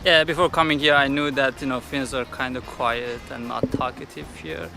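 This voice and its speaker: A man's voice: medium pitch, level tone, moderate pace, gravelly, noisy background. A young man from Iran, a student giving friendly answers in a casual interview and searching for words. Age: young adult.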